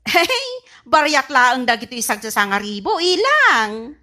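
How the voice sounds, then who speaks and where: Woman acting a high, brash Ilocano spendthrift daughter. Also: big pitch swings, unhurried, bright, forceful tone, exaggerated voice. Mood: boastful. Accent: Filipino, Ilocano. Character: stubborn, boastful, spendthrift married daughter. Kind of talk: comedy radio drama acting.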